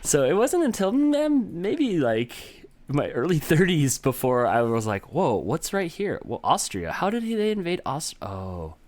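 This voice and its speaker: A man's voice: a little high, very animated, unhurried, few pauses, darkish tone. A middle-aged American man co-hosting a podcast with casual, joking, self-deprecating banter. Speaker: podcast co-host.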